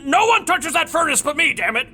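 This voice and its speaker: A man playing a grouchy, defensive veteran handyman and guard in an audio drama, loud, blustering and mocking. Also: very high-pitched, sing-song, a little fast, heavily acted. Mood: defensive, irritated.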